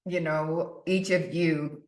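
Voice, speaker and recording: A low-pitched woman hosting a relaxed conversation, chatty and prone to restarting her thoughts. Her voice carries a roomy echo. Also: takes it slowly. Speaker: podcast host. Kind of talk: casual interview.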